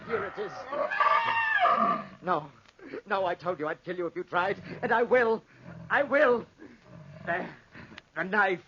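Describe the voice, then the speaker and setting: A man's voice: high voice, big pitch swings, takes it slowly, gravelly, pauses often, exaggerated voice. A man acting an impassioned, lovesick soldier losing his mind in the desert, in a melodramatic 1940s radio drama style. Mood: frantic, desperate. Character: lovesick, unhinged soldier stranded in the desert with a panther. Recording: muffled, noisy 1940s radio recording.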